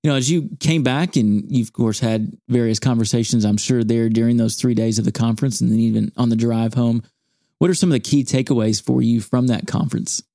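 A man hosting a studio podcast interview, measured and earnest, with church phrasing. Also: medium pitch, fairly even intonation, mellow, no room sound. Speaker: podcast host, campus ministry staff.

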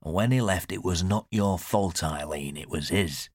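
A man's voice: deep voice, normal speed, breathy edge, no echo, clean studio sound. A man voicing an older man in an audiobook, stern but kindly and reflective. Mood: stern, consoling. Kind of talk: audiobook character dialogue.

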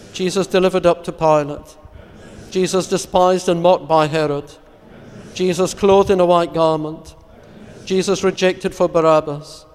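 A man solemnly reciting psalms, litanies and prayers in a measured, reverent, formal way as a prayer leader. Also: a little high, a little mellow. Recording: long echoing church-like reverb.

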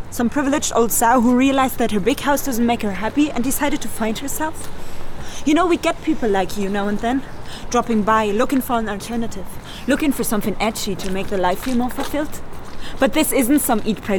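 Actress as a scornful activist woman, fairly high. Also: fairly quick, lightly acted. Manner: scornful, challenging. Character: combative, idealistic German activist woman, scornful and defensive. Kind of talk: radio drama acting. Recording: noticeable ambient noise.

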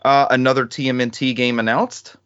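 A man's voice: medium pitch, level tone, a little fast, fairly bright tone, clear, resonant tone, dry recording, dull audio. A man hosting a gaming podcast with casual, joking banter and some swearing. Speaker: podcast host.

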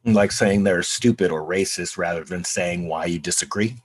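Low, husky man playing questioning college student. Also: normal speed. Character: candid, questioning young male college student starting over. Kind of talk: readers theatre play reading.

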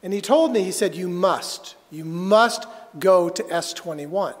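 A man preaching a sermon as a pastor, telling a personal story earnestly and haltingly, with dramatic pauses. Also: high voice. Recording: reverberant hall.

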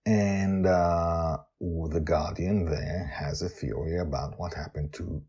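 A man's voice: low voice, steady tone, takes it slowly, dark tone, breathy edge. A man hosting a story podcast, talking informally and emphatically as he introduces and explains episodes.

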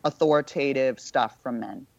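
A woman with a low voice, a podcast guest talking in a frank, irreverent, self-mocking way. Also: normal speed, vocal fry, a little mellow, no room sound. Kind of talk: candid casual conversation. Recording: dull lo-fi sound.

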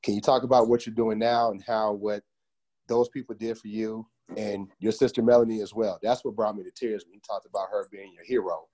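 A man's voice: on the low side, flat delivery, fairly quick, dark tone, low-quality recording. An American man hosting a conversational interview, reflecting thoughtfully and affirming his guest. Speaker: interviewer, book podcast host.

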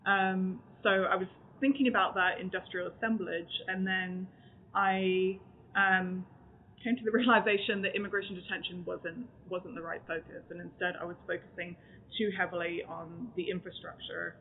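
A woman's voice: on the low side, little pitch movement, normal speed. A woman author answering questions at a book event, speaking in a thoughtful, academic way and searching for her words as she goes. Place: event room in front of an audience. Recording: tinny phone-like sound with faint noise.

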